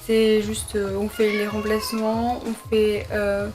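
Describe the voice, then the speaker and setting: Young woman, flat voice, hesitant answers. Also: mid-range voice, slow, over loud music. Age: young adult. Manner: hesitant, many fillers and self-corrections. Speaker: medical student vlogger. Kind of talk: casual sit-down Q&A vlog. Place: her bedroom at her parents' home.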